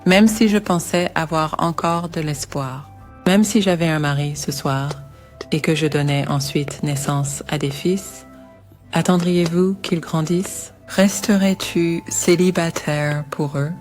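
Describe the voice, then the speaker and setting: A very low female voice from an actress plays a grieving older mother-in-law in an animated Bible story. She speaks solemnly and formally, weighed down by bitterness, over soft background music. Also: unhurried. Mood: sorrowful pleading.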